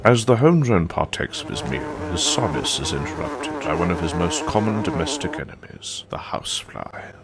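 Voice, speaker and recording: An actor narrating in a measured, deadpan nature documentary style. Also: male voice, deep voice. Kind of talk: scripted narration.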